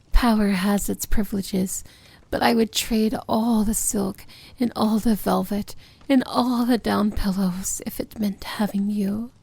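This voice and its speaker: A woman playing a seductive, tender yet commanding evil princess in an intimate fantasy ASMR roleplay, speaking softly and often whispering. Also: mid-range voice, no room sound. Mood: inviting, playful. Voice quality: soft, breathy, often whispered. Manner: slow, intimate, gently commanding.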